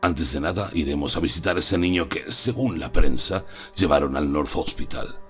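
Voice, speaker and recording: A solemn older professor played by a man speaks persuasively in an extremely deep voice. It is a dramatized audiobook, with faint music underneath. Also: moderate pace. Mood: earnest, persuasive. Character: solemn, persuasive older male professor confiding terrible truths.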